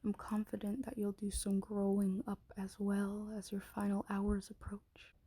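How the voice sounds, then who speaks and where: Girl acting a cruel possessive dragon queen, asmr roleplay, slow n mellow, bossy, drops into whispers. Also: medium pitch, monotone, no room sound. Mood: taunting, threatening. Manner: slow commanding delivery, slipping into breathy whispers. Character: menacing possessive dragon shifter queen taunting her prisoner.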